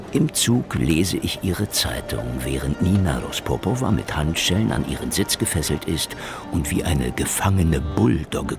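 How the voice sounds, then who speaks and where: A hardboiled Soviet investigator in a radio play, cynical and deadpan. His voice is extremely deep and thick with gravel, and he narrates his thoughts unhurriedly over music. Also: exaggerated voice. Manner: sardonic, deadpan. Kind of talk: scripted noir radio drama narration.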